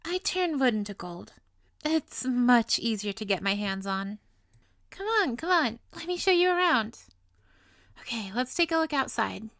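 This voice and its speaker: A woman's voice: on the high side, lively intonation, unhurried, fairly bright tone, dry recording. A woman playing a sweet, playful, possessively lovestruck young witch in an intimate audio roleplay, with coy, doting, theatrical delivery. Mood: gleeful, proud.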